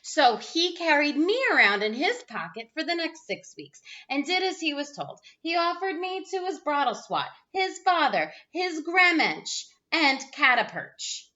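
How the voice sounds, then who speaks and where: A woman reading a children's picture book aloud as a teacher would, expressive and dramatic. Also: high-pitched, a little slow, few pauses, highs cut off. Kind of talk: animated children's read-aloud.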